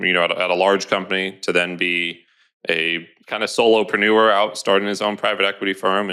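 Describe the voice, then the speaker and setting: Podcast cohost guy, super deep gravelly voice but bright and punchy. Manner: casual, tags sentences with "right?". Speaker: podcast co-host. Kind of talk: casual podcast chat.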